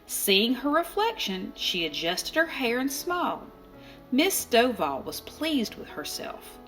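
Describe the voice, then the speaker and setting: Slow-paced woman reading a children's story. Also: fairly high pitch, big pitch swings, fairly bright tone, rough recording. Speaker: storybook reader. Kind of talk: expressive children's read-aloud narration. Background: music.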